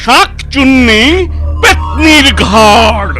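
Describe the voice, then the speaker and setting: Very high-pitched chanting exorcist. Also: male voice, animated, bright, forceful tone, exaggerated voice. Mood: boastful, menacing. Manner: theatrical, punchy incantation with drawn-out words. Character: boastful male village exorcist chanting nonsense spells. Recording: music behind the voice.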